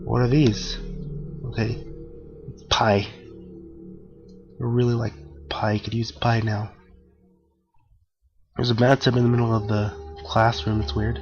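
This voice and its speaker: A man with a gravelly, allergy-hoarse voice talks slowly through a horror game in a middle pitch. He jokes and chats with the characters on screen. The audio is dull and lo-fi, with game music running underneath. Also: little pitch movement. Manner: jokey, talks to the game, gasps at scares. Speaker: gaming channel host. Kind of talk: casual horror game commentary.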